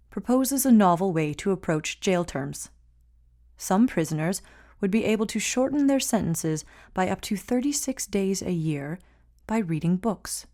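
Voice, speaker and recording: A woman reading a scripted news report in an even, formal way. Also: fairly low pitch. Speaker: news reader.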